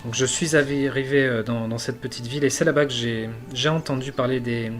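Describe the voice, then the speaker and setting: A man voicing an earnest male elf envoy from a jungle land, speaking haltingly with many fillers. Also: mid-range voice, fairly even intonation, faint music behind, close to an everyday voice.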